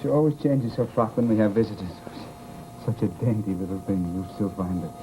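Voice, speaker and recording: An actor in an old-time radio horror drama playing an unhinged, softly insistent grieving widower, halting, coaxing and pleading. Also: male voice, fairly low pitch, background noise, lightly acted. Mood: soft, eerily hospitable.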